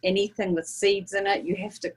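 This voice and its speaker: A woman's voice: medium pitch, steady tone, brisk, husky. A woman in her forties with a New Zealand accent, a small business owner telling her story cheerfully in an interview. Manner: cheerful, laughs easily, chatty.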